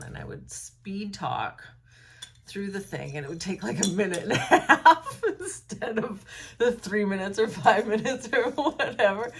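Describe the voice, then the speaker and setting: A woman's voice: medium pitch, a bit bright, husky. A young woman talking alone to her phone camera in a room at home, thoughtful and hesitant, with many ums and likes and a slightly froggy voice. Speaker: vlogger. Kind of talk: unscripted reflective talk to camera.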